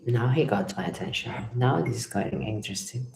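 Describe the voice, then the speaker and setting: A woman's voice: extremely low pitch, lively intonation, brisk, creaky, noisy background. A woman from Ecuador, a tarot reader chatting with viewers on a live stream, reading their comments aloud and reacting, often repeating her own phrases. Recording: echoey room.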